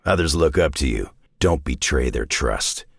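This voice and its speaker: Gruff mutant brawler, gravelly growl, swinging intonation, dry cynical drawl, game character, close dry sound. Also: male voice, rumbling low, lively intonation, moderate pace, no room sound, full character voice. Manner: terse, dry, tough-guy drawl. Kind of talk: video game character acting.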